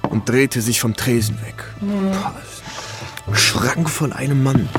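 An actor playing a young war correspondent who recounts his memories in measured, vivid radio play narration. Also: mid-range voice, lightly acted. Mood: tense, reflective. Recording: clear music behind. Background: music.

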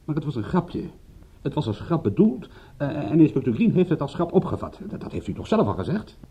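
A man's voice: fairly high pitch, big pitch swings, fairly quick, slightly rough, dull audio, full character voice. A man playing an indignant, glib British private detective in a radio drama, argumentative and wordy, stumbling into his sentences. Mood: defensive. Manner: argumentative, stammering starts, wordy formal retorts.

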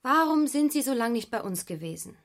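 A woman's voice: on the high side, sing-song, brisk. An actress playing a proud, nervous, headstrong young woman of twenty-three in a literary radio drama. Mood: pointed, restless.

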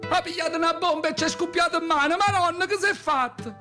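A man's voice: very high-pitched, fast talker, pushed and bright, soft background music. A male actor playing a frantic village woman with a Neapolitan accent, urgently calling out bad news in a radio drama. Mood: frantic, alarmed. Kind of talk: theatrical radio monologue in dialect.

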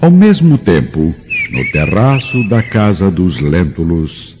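A man's voice: low-pitched, very animated, takes it slowly. A man with a Brazilian accent narrating a scripted radio drama in a solemn, literary storytelling manner. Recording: dull radio recording with long reverb, music behind.

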